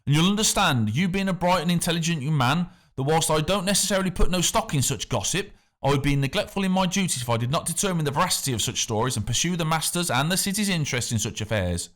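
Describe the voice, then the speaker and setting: A man's voice: a little high, animated, fast talker, few pauses, fairly bright tone, voice pushed up. A man voicing a male tax factor who speaks affably with an underlying menace. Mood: smooth menacing. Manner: smooth talk turning to snapping threats.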